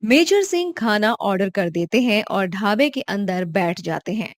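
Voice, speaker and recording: Calm woman narrating a cartoon story. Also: medium pitch, measured pace, no echo, studio quality.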